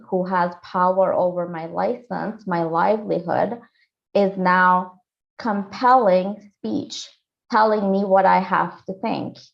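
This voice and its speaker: A woman in her forties, a lawyer from Iran, telling her story in an earnest, steady interview answer. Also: on the low side, vocal fry. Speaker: lawyer and candidate. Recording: dull lo-fi audio.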